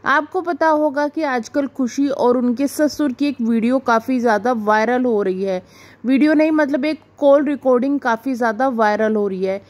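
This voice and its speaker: A woman with a clearly high-pitched voice speaks as the host of a reaction video. She delivers informal gossip commentary straight to her viewers, explaining point by point in an explanatory, questioning manner. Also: normal speed, darkish tone.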